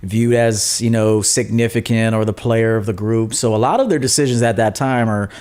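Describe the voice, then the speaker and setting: A man's voice: fairly low pitch, fairly even intonation, moderate pace, darkish tone. A man who works as a coach, a guest on a podcast, giving blunt advice in a loose, repetitive, filler-filled way. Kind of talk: casual podcast interview.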